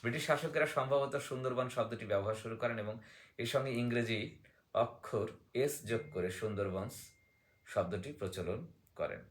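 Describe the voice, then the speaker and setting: A man's voice: medium pitch, normal speed. A man speaking as a guest in a book discussion, explaining in a teacherly way, sometimes reading aloud and sometimes posing questions he then answers.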